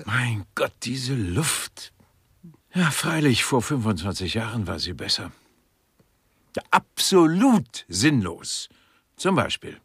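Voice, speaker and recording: Pompous, slow, husky art critic. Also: male voice, medium pitch, no echo, studio quality, full character voice. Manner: pompous, lecturing, learned Latinate vocabulary. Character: pompous, indignant male German art critic in Rome. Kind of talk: grotesque radio crime play.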